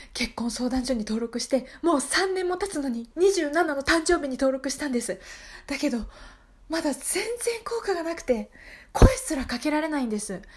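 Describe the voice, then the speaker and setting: A woman acts out a desperate single woman in her thirties in an improvised radio play. Her voice is high, and she pleads and repeats herself in an overwrought, gullible way. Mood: anguished complaining. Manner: pleading, breathless repetition, overwrought. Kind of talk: improvised radio drama acting.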